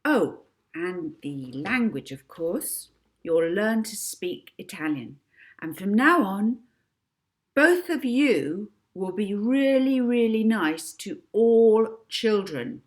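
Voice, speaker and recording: Storybook girl played by a woman: bold, cheerful, commanding, animated delivery. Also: mid-range voice, slow, voice pushed up. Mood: confident, improvising. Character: bold, cheerful young girl hypnotist giving orders.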